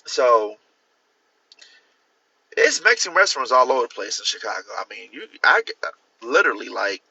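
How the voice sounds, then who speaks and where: A man from Miami chatting casually on a livestream, rambling with restarts and jumping up in pitch to stress a point. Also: sing-song, moderate pace, vocal fry, pushed and bright, slightly rough, muffled recording. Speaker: author hosting a livestream. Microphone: phone or computer mic.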